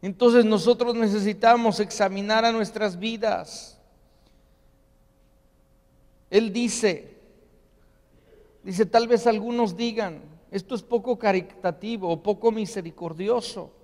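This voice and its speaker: A man delivers an earnest sermon, and his voice sits fairly high. He keeps asking rhetorical questions as he preaches. His voice rings out with the long reverb of a large church hall. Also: fairly even intonation, a little slow, a little mellow. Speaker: pastor. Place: church sanctuary.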